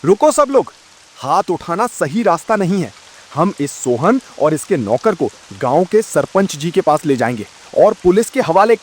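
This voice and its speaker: An actor playing a level-headed villager in a cartoon moral story, firm and commanding. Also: male voice, on the high side, sing-song, normal speed. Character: level-headed village man calming a mob. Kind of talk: dramatic Hindi moral-story cartoon acting.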